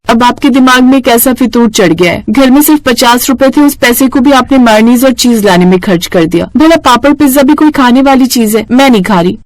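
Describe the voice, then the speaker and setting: A woman's voice: fairly high pitch, a little fast, hardly any pauses, no echo, pitched above the performer's own voice, close to an everyday voice. A woman voicing a practical, outspoken wife of a poor vendor in a story. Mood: scolding, exasperated.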